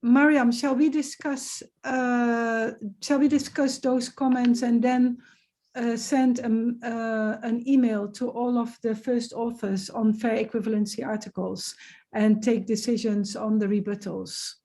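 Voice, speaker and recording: A woman's voice: a little high, flat delivery, unhurried, warm tone. A woman chairing a webinar discussion on a video call, hesitant with many fillers but joking lightly. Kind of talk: casual meeting discussion.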